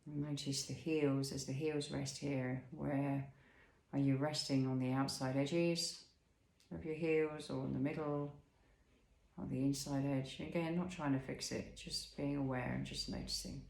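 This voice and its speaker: A man leading a guided body scan as an instructor, speaking in a soothing, unhurried way with pauses and gentle questions. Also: medium pitch, level tone, vocal fry, echoey room, rough recording.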